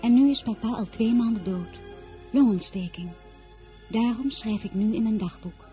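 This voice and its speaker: An earnest fourteen-year-old girl in a radio play, acted by a woman. Her voice is fairly high and soft-toned. She speaks with naive curiosity and candour, as if confiding in a diary. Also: moderate pace, soft background music, close to an everyday voice. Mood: earnest, matter-of-fact. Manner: naive, wondering, candid.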